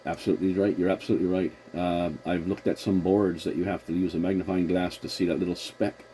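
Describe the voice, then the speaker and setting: A man's voice: low-pitched, little pitch movement, fast talker, dark tone. A man chatting casually as a CB radio operator, agreeable and a little hesitant, with a noisy recording. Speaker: CB radio operator hosting the contact.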